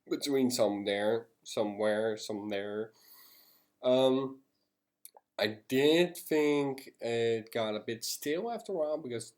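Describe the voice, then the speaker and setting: A man's voice: fairly low pitch, a little mellow. Rambling Dutch-speaking man reviewing albums. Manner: loose, rambling, with frequent restarts and swearing. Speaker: music reviewer. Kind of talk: casual album review vlog.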